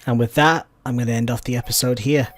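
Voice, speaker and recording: A man's voice: medium pitch, steady tone, quick pace. A man hosting a video game walkthrough, giving casual commentary and talking to his viewers. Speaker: let's play host. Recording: faint game music behind.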